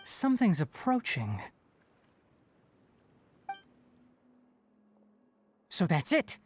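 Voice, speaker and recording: A man's voice: high voice, huge pitch swings, slight background noise. A man playing a composed young scholarly researcher in a video game, precise, analytical and matter-of-fact. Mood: alert, commanding. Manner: precise, measured, explanatory.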